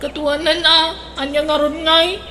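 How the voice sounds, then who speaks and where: A woman's voice: high voice, flat delivery, measured pace, sharp tone, slight background noise. A woman playing a blunt, grumbling young woman. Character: young woman Sylvia, sharp-tongued and unwilling. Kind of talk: Ilocano radio drama acting.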